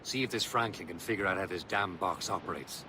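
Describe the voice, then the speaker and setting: A male actor voices a gruff, commanding assassin in a game. His lowish voice is curt and dryly teasing. Loud gunfire and battle noise surround it. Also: fairly quick, close to an everyday voice. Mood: curt, wry. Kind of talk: video game cutscene acting.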